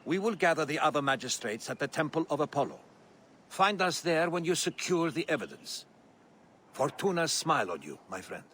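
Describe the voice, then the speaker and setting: An actor playing an earnest, educated Greek politician in a video game. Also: male voice, on the high side, very animated, normal speed, bright, forceful tone, a little hiss or hum. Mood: solemn.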